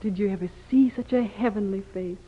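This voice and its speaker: A woman's voice: mid-range voice, brisk, husky, lightly acted. An actress playing a tender, prim frontier woman in 1950s radio drama. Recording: dull vintage 1950s radio recording.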